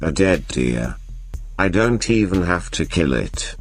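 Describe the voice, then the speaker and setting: A man voicing a greedy, menacing hunter villain from a children's storybook, with a taunting, drawn-out delivery. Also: sits low, big pitch swings, slightly rough, faint music behind, voice pushed down, full character voice. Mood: greedy, pleased.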